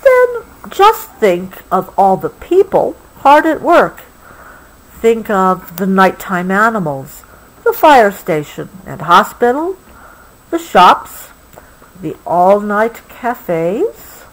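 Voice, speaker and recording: Slow, lively woman reading aloud. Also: mid-range voice, rough recording. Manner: gentle explaining to a young child. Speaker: parent reading a picture book aloud to child. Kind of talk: read-aloud storybook with explanatory asides.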